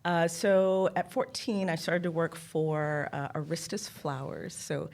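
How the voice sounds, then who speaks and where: A woman's voice: deep voice, steady tone, a little slow, creaky, darkish tone. An American woman speaking as an interview guest, telling personal stories casually and acting out bits of dialogue. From San Jose, California, United States. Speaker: interview guest, corporate executive.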